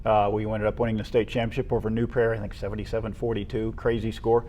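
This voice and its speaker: An American man co-hosting a local high school sports show, discussing teams in a conversational style. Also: level tone, fast, mellow.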